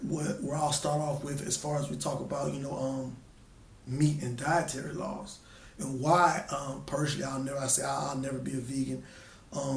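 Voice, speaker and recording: A young man, talking to his viewers in a relaxed, chatty way and peppering his talk with "man". His voice sits a little high. It sounds roomy and a bit distant from the mic. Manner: laid-back, repeats "man" and "you know what I'm saying". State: hoarse. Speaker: vlogger. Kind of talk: casual monologue to camera.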